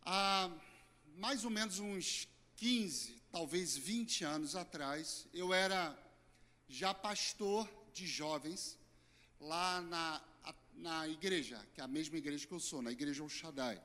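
Hoarse Brazilian pastor in reverberant hall. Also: male voice, on the high side, takes it slowly. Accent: Brazilian Portuguese. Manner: earnest, emphatic preaching with sudden loud and soft swings. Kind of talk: sermon.

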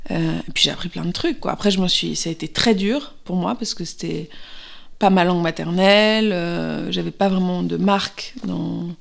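A woman's voice: fairly low pitch, normal speed, vocal fry. A woman in her thirties, an actress answering interview questions, pregnant, speaking hesitantly with many fillers and restarts.

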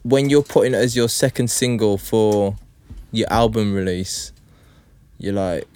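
A man's voice: lowish voice, fairly even intonation, unhurried, darkish tone, smooth. A young man co-hosting a podcast who chimes in casually about music with short, laid-back agreeing replies. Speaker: podcast co-host.

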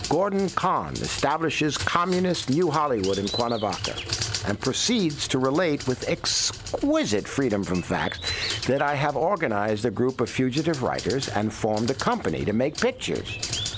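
An actor playing a witty, genial exiled screenwriter, droll and urbane, reading his letters in a radio drama. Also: lowish voice. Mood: amused, sardonic. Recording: clear noise in the background.

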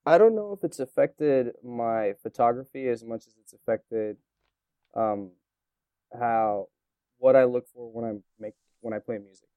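A man's voice: medium pitch, measured pace, mellow, clear, resonant tone, no echo. A man whose first language is Romanian, answering interview questions in a reflective, rambling way with many fillers. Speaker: interviewee, photographer and musician.

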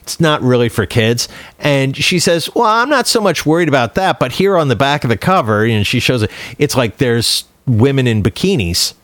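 A man's voice: mid-range voice, lively intonation, normal speed, fairly bright tone, dry recording. A man talking casually and bluntly, telling stories as a podcast panelist with some swearing. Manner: blunt, profane storytelling. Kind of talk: casual chat and anecdotes.

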